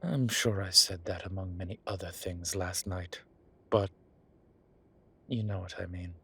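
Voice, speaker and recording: A man voicing a flirtatious, smitten demon professor in an intimate audio roleplay, husky and breathy, with halting, wry phrasing. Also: low voice, pushed and bright, full character voice. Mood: tender, earnest. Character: teasing, smitten male incubus demon professor. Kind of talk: intimate one-sided audio roleplay acting.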